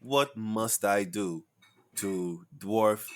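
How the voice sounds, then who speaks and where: A man's voice: fairly low pitch, drawn-out words, a little hiss or hum, voice pushed down. A brooding young spy cadet, as a man puts him on, muttering his plans in a grave, self-serious tone. The voice sits well below the speaker's own and sounds heavy and dramatic.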